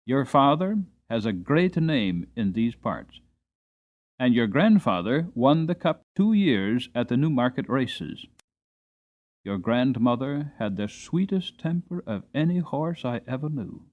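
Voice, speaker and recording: A man's voice: mid-range voice, big pitch swings. Man voicing wise, earnest mother mare, lo-fi sound. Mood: earnest, gentle. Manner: measured, earnest, admonishing delivery. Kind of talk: dramatic storybook reading.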